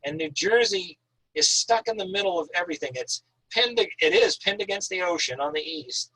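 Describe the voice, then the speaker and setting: A man's voice: high-pitched, lively intonation, moderate pace, pushed and bright, husky, poor audio. An American man from Northern Maine, an author reading prose and talking informally about writing. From Northern Maine, USA. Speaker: author reading and discussing their own thriller.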